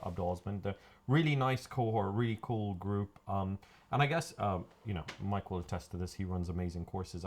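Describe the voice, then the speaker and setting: Low-pitched male co-host, friendly, chatty, asks follow-ups. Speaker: podcast co-host. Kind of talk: casual chat.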